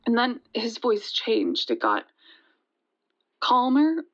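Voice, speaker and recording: A woman playing a shaken young woman who recounts a frightening night in an audio drama, halting and conversational, with hesitations and restarts. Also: on the high side, huge pitch swings, a bit bright, exaggerated voice. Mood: uneasy recounting. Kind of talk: first-person dramatic storytelling in an audio drama. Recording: dull, lo-fi sound.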